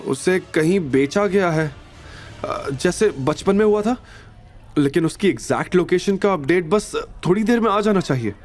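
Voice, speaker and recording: A man's voice: high voice, animated, moderate pace, soft background music, voice pushed up. A man playing an anxious, deferential assistant in an audio drama, with a hesitant, stammering manner. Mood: worried. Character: anxious, deferential male assistant delivering bad news. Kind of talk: Hindi audio drama acting.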